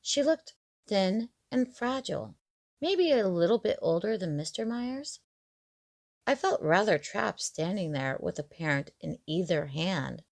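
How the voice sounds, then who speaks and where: A woman narrating a scripted story in the first person, in a measured, expressive storytelling manner. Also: medium pitch, gravelly, no echo, clean studio sound.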